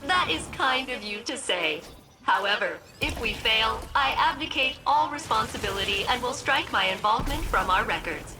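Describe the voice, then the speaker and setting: A woman's voice: a little high, lively intonation, normal speed, natural voice, low-quality recording. A woman playing a centuries-old spaceship AI in a video game, formally courteous with a dry, sarcastic streak. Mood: formal, sardonic. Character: wry artificial intelligence of a stranded spaceship, centuries old. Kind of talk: scripted video game voice acting. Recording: music playing behind the voice.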